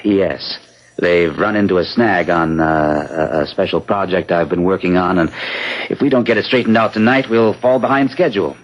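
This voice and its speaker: A man's voice: low voice, fairly even intonation, moderate pace, lightly acted. A male actor playing a secretive, defensive young commuter husband in a 1970s radio drama, curt and guarded. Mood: defensive, irritable. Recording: muffled old radio recording.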